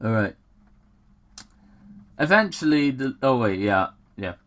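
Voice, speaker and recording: A host reading fan fiction aloud in a casual dramatic style, halting and excitable, breaking off into rambling, self-deprecating commentary. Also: mid-range voice.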